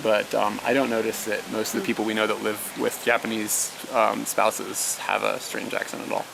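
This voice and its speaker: A man's voice: on the low side, completely flat delivery, moderate pace, gravelly, noisy background. A young man chatting casually to his viewers and answering their questions, a storyteller who fills his talk with "like" and laughs often. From United States. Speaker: vlogger, elementary school teacher.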